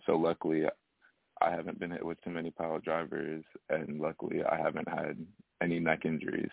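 A young man from Alaska, a wrestling guest, answering questions in a soft-spoken, hesitant way with easy laughs. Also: very deep voice, monotone, fairly quick, creaky, no room sound. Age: young adult. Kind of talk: casual interview over phone. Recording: tinny phone line.

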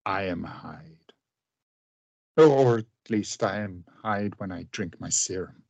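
An actor in a radio play playing a tormented, remorseful gentleman scientist with grave, formal, deliberate diction. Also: low voice, expressive, dry recording, heavily acted. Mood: confessing.

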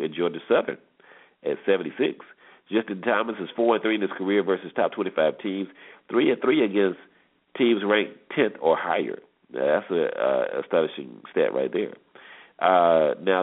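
An American man hosting a sports show, giving conversational game previews and commentary, repeating himself for emphasis. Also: deep voice. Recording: tinny phone-like narrowband sound.

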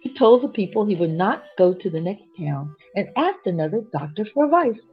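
A woman storyteller with a husky voice reads a children's bedtime story expressively, with chatty asides to young listeners. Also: medium pitch, very animated, fairly quick, faint music behind. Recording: dull, lo-fi sound.

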